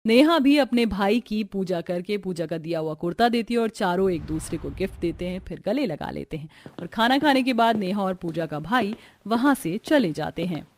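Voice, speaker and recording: A woman's voice: medium pitch, lively intonation, moderate pace, hardly any pauses. A woman giving scripted narration for a cartoon family story.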